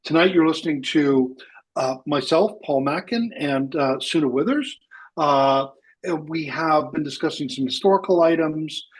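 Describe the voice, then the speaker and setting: Man, radio host, slightly high, husky, halting with fillers. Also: low-quality recording. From Wales. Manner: hesitant, many fillers and restarts. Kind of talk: live radio science chat.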